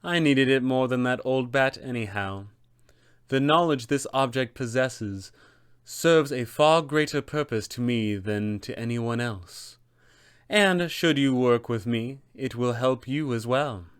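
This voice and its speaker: Guy doing a haughty scheming lady wizard, slow and deliberate, smooth, tabletop game villain. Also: mid-range voice, no room sound, studio quality, lightly acted. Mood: haughty, unapologetic. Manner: measured, deliberate, disdainful delivery. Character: haughty, scheming female wizard diviner, cool and self-assured.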